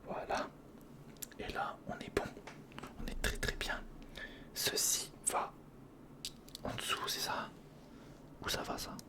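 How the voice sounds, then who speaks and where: A breathy, unvoiced whisper carries this ASMR commentary. The speaker mutters casually to themselves while working with their hands, repeating short phrases in informal slang, close to the mic. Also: slow, pauses often. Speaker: ASMR video creator. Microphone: close-up studio condenser or handheld recorder.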